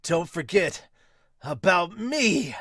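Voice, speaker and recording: A man voicing an excitable young male volleyball player in a manga dub, loud, urgent and exclamatory. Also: sits high, slow, no room sound, studio quality, voice pushed up, exaggerated voice. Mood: excited, shouting.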